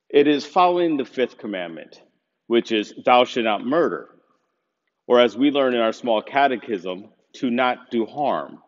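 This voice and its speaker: A man, a pastor, preaching a measured and earnest sermon from a lectern in a small chapel. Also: medium pitch, big-room sound, muffled recording.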